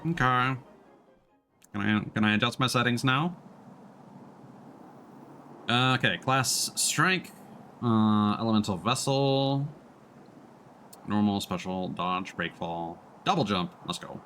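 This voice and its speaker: Low-voiced man streaming a game. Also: slow, long pauses, bright, forceful tone. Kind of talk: casual let's play commentary and reading tutorials aloud. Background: faint game music.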